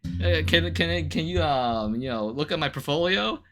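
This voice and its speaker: Guy streamer ranting casually, higher pitched voice, really animated and sweary. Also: normal speed. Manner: laughs often between remarks. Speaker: live streamer. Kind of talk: casual livestream chat, ranting to viewers.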